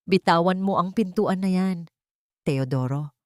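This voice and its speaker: A woman's voice: on the low side, unhurried, no echo, clean studio sound, natural voice. A woman voicing a fierce, anguished adult son, a wealthy businessman, with an intense and commanding manner. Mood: threatening.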